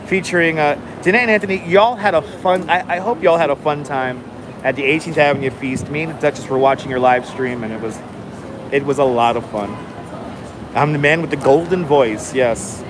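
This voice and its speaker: A man chats with his live stream viewers in a calm, carefully enunciated way. His voice sits a touch high for a man. Traffic and city noise run steadily behind him on the phone stream. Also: moderate pace. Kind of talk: casual live stream chat. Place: outdoors on a city street waiting in line. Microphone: phone held for a live stream. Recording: noisy outdoor phone stream. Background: city traffic and street noise.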